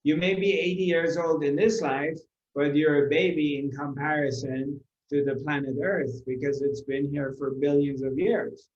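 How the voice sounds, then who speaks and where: A man giving a spiritual discourse to a live audience, unhurried, repeating his phrases and asking rhetorical questions. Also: on the high side, steady tone, a little mellow. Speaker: spiritual teacher. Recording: roomy, dull lo-fi recording.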